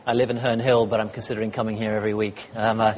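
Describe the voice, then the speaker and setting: A slightly hoarse man gives a sermon as guest speaker, chatty and self-deprecating, with frequent ums and uhs. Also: lowish voice, flat delivery, racing, a little mellow, slight background noise. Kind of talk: sermon with light humor. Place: church hall. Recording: church hall reverb.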